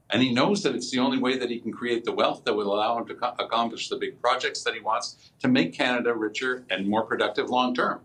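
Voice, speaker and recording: A man's voice: on the low side, fairly bright tone, a little hiss or hum, low-quality recording. A man giving opinionated political commentary as a panelist, speaking emphatically and with assurance.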